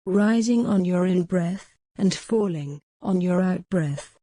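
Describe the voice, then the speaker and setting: A woman leading a guided mindfulness meditation in a gentle, soothing, measured way with long pauses. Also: fairly low pitch, dry recording.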